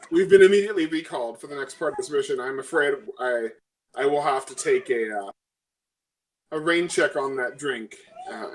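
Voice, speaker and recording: A woman playing a tabletop game, chatting casually and role-playing, often halting and correcting herself. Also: rumbling low, a little hiss or hum, poor audio.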